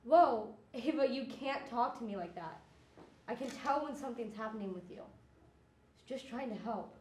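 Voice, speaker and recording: Woman acting caring friend, highish voice, echoey stage. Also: animated, normal speed, natural voice. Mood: hurt, firm. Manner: supportive, concerned. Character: caring, patient young friend, easily hurt. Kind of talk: amateur one-act stage play acting. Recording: echoey room, clicks, some noise.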